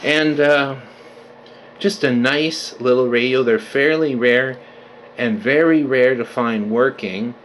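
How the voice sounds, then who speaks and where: Male hobbyist presenter, casual and conversational, mid-pitched voice, faint noise behind him. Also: takes it slowly, a bit bright. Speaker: vintage radio collector presenting his restoration. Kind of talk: casual unscripted video demonstration.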